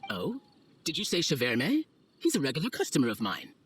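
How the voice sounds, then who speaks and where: A man's voice: a little high, wildly swooping pitch, fairly quick, a bit bright, husky, full character voice. A man playing an affable but firm wine merchant and shopkeeper in a video game, with an amiable haggling manner. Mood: friendly.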